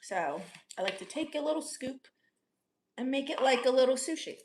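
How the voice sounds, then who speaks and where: A woman's voice: high voice, animated, normal speed, a little mellow, a little hiss or hum. Chatty young woman reading stream comments. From Orlando, Florida. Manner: chatty, upbeat, laughs often. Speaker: livestream host. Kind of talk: casual chat reading viewer comments.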